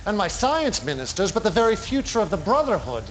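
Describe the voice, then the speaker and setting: An actor playing a charismatic, menacing male cult leader in a game cutscene, speaking in a measured, commanding way. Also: sits high, very animated, a bit bright, a little hiss or hum, poor audio. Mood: interrogating. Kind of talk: theatrical game cutscene acting.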